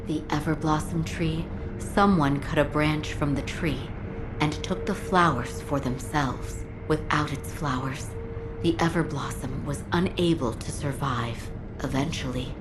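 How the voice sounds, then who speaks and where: A woman voicing a calm, gentle young female attendant in a video game, polite and composed. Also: low voice, measured pace, rough recording. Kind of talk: dramatic video game dialogue. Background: music.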